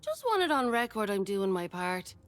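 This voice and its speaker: An actress playing a cocky, sly smuggler in a video game, with a wry, breezy manner. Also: female voice, mid-range voice, huge pitch swings, normal speed. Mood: wry, pleased. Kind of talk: video game character acting.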